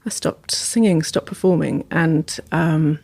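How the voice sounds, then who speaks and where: A woman's voice: deep voice, a little slow, creaky, a little mellow, husky, no room sound. A woman, a sound therapist and former singer, telling her story thoughtfully in a podcast conversation with many ums and restarts.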